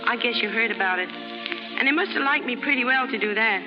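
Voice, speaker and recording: Lady acting as a high pitched tough young chorus girl, slangy american, naive, old radio play, muffled with music under. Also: fairly quick, natural voice. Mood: eager, lovestruck. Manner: slangy, ungrammatical, tough street talk.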